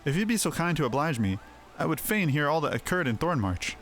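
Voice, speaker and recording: A man's voice: a little high, sing-song, brisk, fairly bright tone. A man voicing a gracious female elder seer from a fantasy game, in formal, archaic phrasing. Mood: solemn, gracious. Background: faint music.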